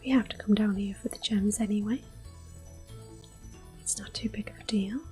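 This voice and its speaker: Whispering woman doing soft ASMR game commentary. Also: mid-range voice, fairly even intonation. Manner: gentle, hushed, unhurried. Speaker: gameplay video host. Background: game music.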